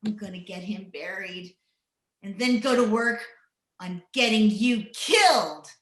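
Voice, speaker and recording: A woman's voice: mid-range voice, expressive, unhurried, a little hiss or hum, natural voice. A woman playing a mean, vengeful older rancher's wife in a radio western, with blunt rural speech. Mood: bitter, threatening. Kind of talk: old-time radio western acting. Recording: roomy, echoing home video-call recording.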